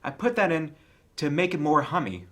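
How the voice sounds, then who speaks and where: A man's voice: on the high side, sing-song, moderate pace, a bit bright, voice pushed up. A man voicing a kindly, simple-minded toy bear in a storybook, earnest, musing and slightly muddled.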